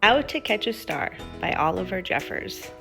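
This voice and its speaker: A woman's voice: on the low side, very animated, normal speed, vocal fry, a bit bright, soft background music. A woman presenting to young children in the style of a lesson and storybook read-aloud, with a simple, guiding manner. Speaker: children's STEM teacher and presenter.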